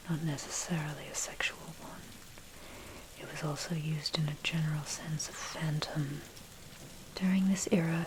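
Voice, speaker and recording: A woman narrator reading a scripted history essay as ASMR in a breathy, unvoiced whisper, measured and deliberate. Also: low-pitched. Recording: noisy track with rain and thunder. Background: rain and thunder ambience.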